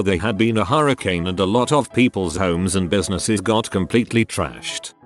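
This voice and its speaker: Male narrator, lowish voice, steady matter-of-fact read of forum stories over faint music. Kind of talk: reading out forum posts.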